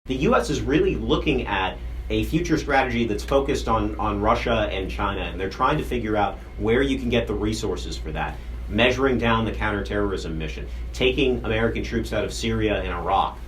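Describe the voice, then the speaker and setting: A man reporting as a defense correspondent, explaining policy in a measured, explanatory way. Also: fairly low pitch, slight background noise. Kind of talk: news analysis.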